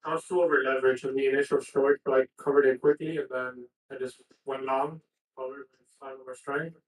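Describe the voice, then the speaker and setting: A man's voice: medium pitch, little pitch movement, brisk, echoey room, distant mic, poor audio. A man giving casual market commentary on a trading stream, dropping dry sarcastic remarks.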